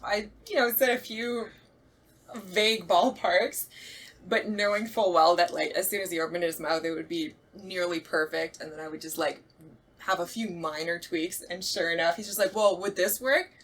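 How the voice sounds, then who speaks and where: A woman with a husky voice in casual podcast chat, recounting how she directs actors. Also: on the low side, fairly even intonation, moderate pace, fairly bright tone.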